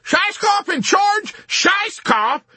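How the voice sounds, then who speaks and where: Audiobook army general: shrill, wailing, composure gone, very high and forceful. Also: male voice, big pitch swings, takes it slowly, no echo, exaggerated voice. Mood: hysterical horror. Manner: shrill screaming, wailing, frantic. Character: hysterical pompous male army general, panicking and wailing.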